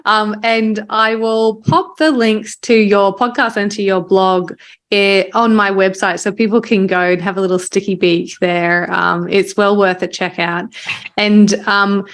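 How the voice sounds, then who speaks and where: An Australian woman speaks as a podcast host in a conversational interview. Her voice sits at a middle pitch, and she talks informally with her guest and listeners. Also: steady tone, unhurried.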